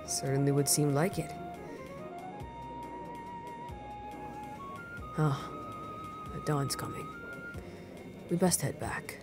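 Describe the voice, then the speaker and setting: An easygoing male syndicate veteran, played by an actor, with an animated, swooping delivery. He sounds amused and conversational, teasing like an old friend in an audio roleplay with music clearly underneath. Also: fairly high pitch, a little slow. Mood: wistful. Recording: music playing clearly behind the voice.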